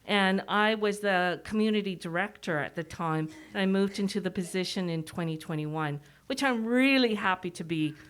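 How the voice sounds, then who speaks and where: A middle-aged Canadian woman gives a public presentation with a voice of medium pitch, speaking through a mask. She is informal and self-deprecating, moving between chat and prepared notes. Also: moderate pace, few pauses, a bit bright. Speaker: executive director giving a presentation.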